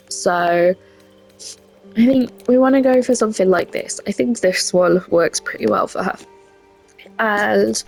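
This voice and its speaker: A woman's voice: medium pitch, creaky, darkish tone, poor audio. A young woman with a head cold and a congested voice, chatting casually and rambling a little while she makes a game character. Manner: chatty, self-deprecating, rambling with fillers. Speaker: gaming creator. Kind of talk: casual gameplay commentary.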